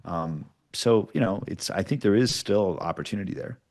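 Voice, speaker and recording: A man's voice: lowish voice, expressive, normal speed, vocal fry, a little mellow. A man giving casual, explanatory interview answers, often checking in with short tags like "right?". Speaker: podcast producer, interview guest.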